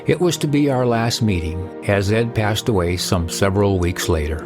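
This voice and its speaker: A man delivers a scripted podcast introduction in a measured, solemn way. His voice sits on the low side, and clear music plays underneath. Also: lively intonation. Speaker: podcast host. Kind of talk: scripted narration. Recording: narration over music.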